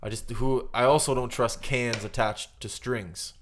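A man's voice: medium pitch, steady tone, unhurried, noisy background. A man co-hosting a podcast in casual comedic banter, riffing on his opinions in a mock-earnest way. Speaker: podcast co-host.

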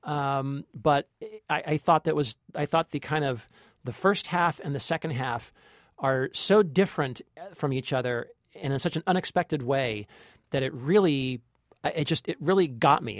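A man of medium pitch discusses films as a podcast co-host. He is conversational and thoughtful, pausing and repeating phrases while he works toward his opinion on a studio microphone. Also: no room sound. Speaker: podcast co-host and film critic. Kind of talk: conversational film review.

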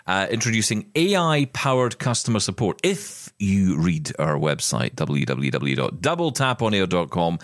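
Scottish male podcast co-host, low and lively delivery, dry close sound, casual and joking. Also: no room sound. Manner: chatty, joking, many fillers. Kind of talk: casual tech podcast chat.

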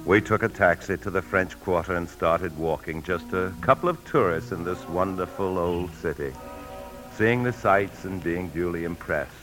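A man acting an evasive husband in a vintage radio drama. His very low voice placates and smooths things over while staying guarded and anxious. Faint music sits on the old broadcast. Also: normal speed, natural voice, low-quality recording. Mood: confessional narration. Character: evasive anxious husband on the run, confessional narrator. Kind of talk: 1950s radio drama acting and first-person narration.

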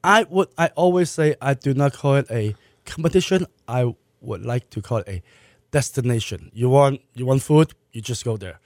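Man, restaurant owner, from Malaysia and raised in Hong Kong; plain and halting, dry close mic. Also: mid-range voice, darkish tone, no echo. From born in Malaysia, raised in Hong Kong. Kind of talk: casual interview answers.

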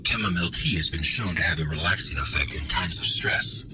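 A man playing a polite, unflappable computer assistant in an audio drama, speaking in a formal, measured way. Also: on the low side, wildly swooping pitch, noisy background. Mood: calm, courteous. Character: polite, unflappable male AI assistant of an undersea base.

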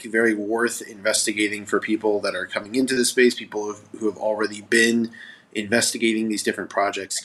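A man co-hosting a podcast interview, speaking in a measured way with uh fillers. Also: fairly low pitch, fairly bright tone, rough recording. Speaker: podcast co-host. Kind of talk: casual interview talk.

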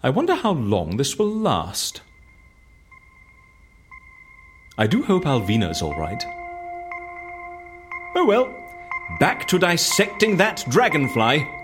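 A man's voice: fairly high pitch, huge pitch swings, a little slow, long pauses, over music. A man in an audio drama playing an eccentric, suave, flippant fixer with a breezy, drily playful manner. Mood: wistful, musing. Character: eccentric, suave, flippant male fixer who disappears people.

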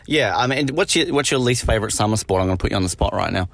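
A man's voice: fairly low pitch, lively intonation, rapid-fire, vocal fry, dry recording. A man hosting a podcast in casual chat, joking and teasing his guest. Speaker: podcast host.

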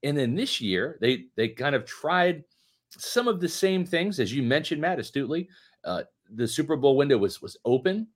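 Man in his fifties, lively swooping pitch, joking, emphatic podcast talk. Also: normal speed. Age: 50s. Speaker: podcast co-host. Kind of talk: late-night sports podcast chat and host-read ad.

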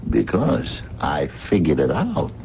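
Dogged streetwise man, low, swooping voice. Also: huge pitch swings, slow pace, soft background music, heavily acted. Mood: insistent, reasoning. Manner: slangy, emphatic, reasoning step by step. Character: streetwise working-class man, dogged amateur sleuth. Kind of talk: radio mystery drama acting. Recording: muffled, noisy 1970s radio broadcast.

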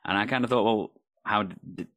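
A man from Wales co-hosting a casual talk about improv, telling relaxed anecdotes. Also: lowish voice, normal speed, no echo. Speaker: improv podcast co-host. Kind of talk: casual chat.

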